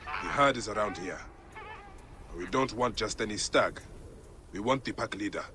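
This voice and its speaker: An actor playing a patient Egyptian father and protector, measured and instructive in a naturalistic game scene. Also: on the low side, background noise, close to an everyday voice. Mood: focused, instructive. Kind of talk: naturalistic game cutscene acting.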